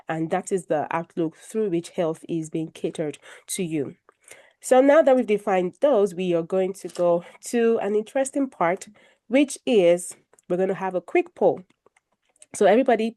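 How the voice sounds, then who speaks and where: Slightly croaky woman hosting a video call. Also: on the low side. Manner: warm, formal introductions, light self-deprecating humor. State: recovering from a cold, a bit croaky. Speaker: moderator of an online health discussion. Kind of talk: hosting a video call panel, presenting slides.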